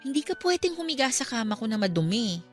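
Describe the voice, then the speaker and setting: A woman's voice: fairly high pitch, sing-song, fast. A Filipino actress in a radio drama playing a caring, exasperated young girlfriend, nagging yet tender. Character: caring, exasperated young girlfriend looking after her man. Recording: music behind.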